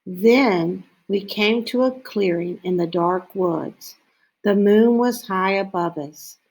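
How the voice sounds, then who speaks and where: Woman storybook reader, very slow and deliberate, medium pitch, pausing often between phrases. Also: a little mellow, low-quality recording. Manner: unhurried, deliberate phrasing with frequent pauses. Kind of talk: scripted children's story read-aloud.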